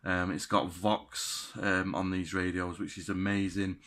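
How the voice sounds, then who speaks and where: A man talks through a product review off the cuff. His delivery is halting and conversational, with plain enthusiasm as he explains things. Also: low voice, level tone, fairly bright tone. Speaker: radio gear reviewer.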